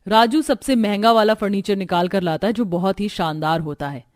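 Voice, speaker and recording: Medium-pitched woman narrating a story. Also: a little fast, a little mellow, no echo. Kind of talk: scripted cartoon story narration.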